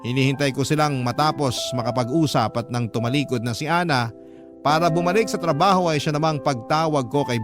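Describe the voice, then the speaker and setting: A man narrating a scripted first-person love story as the storyteller of a radio-style series. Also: medium pitch. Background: music clearly under the voice.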